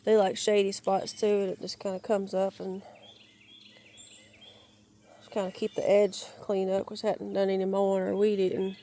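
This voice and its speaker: A woman with a raspy, crackly voice from a lingering cold, giving a halting, rambling tour of her wooded yard, searching for words and restarting often. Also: mid-range voice, little pitch movement, warm tone. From Georgia, US. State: raspy, crackly voice while getting over a cold. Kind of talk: casual rambling walk-and-talk. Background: birds and outdoor ambience.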